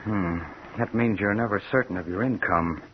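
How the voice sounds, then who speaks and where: An actor playing a stiff, unyielding, regretful lender in 1950s radio drama style, on a muffled vintage recording. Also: male voice, fairly low pitch, normal speed, slight background noise, close to an everyday voice. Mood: coolly regretful.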